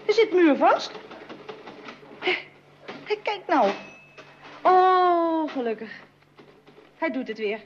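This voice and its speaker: An actress playing a lively, easily indignant young daughter in a Dutch radio play. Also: female voice, very high voice, exaggerated voice. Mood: chatty. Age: young adult. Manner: slowly reads out letters while typing, chatty otherwise. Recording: dull, noisy old radio recording.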